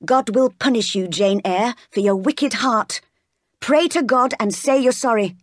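A woman's voice: on the high side, unhurried, dry recording, studio quality, pitched above the performer's own voice. Stern maidservant, played by a woman narrator: pious, reproachful, scolding, for a storybook. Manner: reproachful, moralizing.

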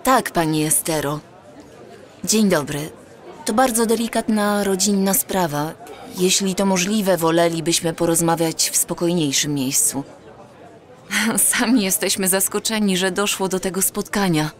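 An actress playing an earnest, courteous and insistent time traveller in an audio drama. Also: female voice, fairly low pitch, a little slow, some chatter behind, lightly acted. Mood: courteous, hesitant. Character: earnest, pleading granddaughter from the future.

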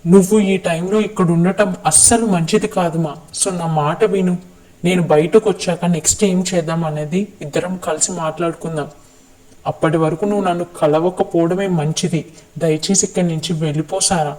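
An actor as a stern young politician in custody, protective of those close to him. His voice is high for a man. He talks in a firm, urgent, commanding way, as in a web drama. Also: steady tone, brisk, darkish tone, close to an everyday voice, low-quality recording. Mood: firm, urging. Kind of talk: web series drama acting.

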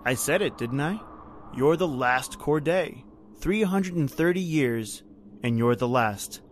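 A man's voice: fairly high pitch, animated, a little slow, noisy background. Man acting a grim, taunting island overseer. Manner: folksy, bitter, uses "aye" and "ain't". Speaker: actor in audio horror drama. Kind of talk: old-time radio horror drama acting.

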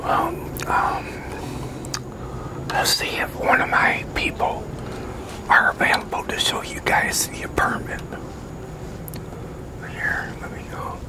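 A man, whispering an ASMR roleplay in a breathy, halting, informal way. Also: poor audio. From United States. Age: 60s. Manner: slow, halting, informal delivery. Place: open-sided concrete park picnic pavilion.

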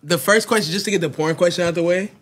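A laid-back man with a slightly high voice teases and asks easy questions on a studio podcast. He sits close to a desk mic. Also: fast talker, a bit bright. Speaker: podcast co-host. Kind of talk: casual podcast banter. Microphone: desk mic on stand.

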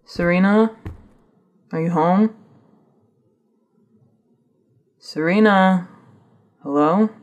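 A woman playing a worried, exasperated friend in an audio drama, with a breathy, husky voice. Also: fairly low pitch, slow, darkish tone. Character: worried, exasperated female friend searching for someone.

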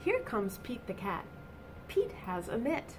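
A middle-aged woman reading a picture book aloud to children, expressive and deliberate, with emphatic exclamations, in a small room. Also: fairly high pitch, very noisy. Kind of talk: animated read-aloud storytelling for children. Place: small indoor office or classroom.

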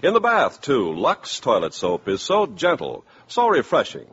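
A man working as a 1950s radio announcer, delivering a polished scripted sales pitch. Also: huge pitch swings, a little slow, fairly bright tone, slightly rough. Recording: dull, slightly noisy 1950s radio.

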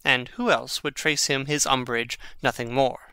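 Mocking young prince, theatrical, crisp articulate voice, Shakespearean audio drama, close dry recording. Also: male voice, mid-range voice, steady tone, moderate pace, pushed and bright, dry recording. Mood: sardonic. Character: witty, sardonic young prince, brooding and mocking.

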